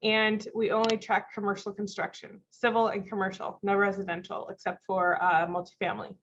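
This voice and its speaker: A woman with a congested voice from a cold and a slight cough, presenting a software webinar in a friendly, explanatory way with frequent ums. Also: medium pitch, flat delivery, a little fast, slight background noise. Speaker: company vice president presenting a software webinar. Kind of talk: live product demo webinar. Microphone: video call mic. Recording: dull lo-fi video call audio.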